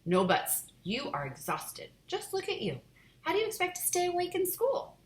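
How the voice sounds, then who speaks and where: A woman's voice: very high voice, huge pitch swings, moderate pace, voice pushed up, exaggerated voice. A woman voicing a stern mother who scolds her children, firm and raised, in a roomy echo.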